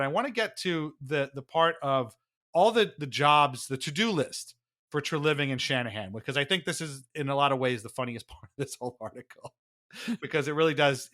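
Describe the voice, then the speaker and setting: Man, bright forceful voice, casual sports podcast co-host, sardonic, animated, mimics others mockingly. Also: mid-range voice.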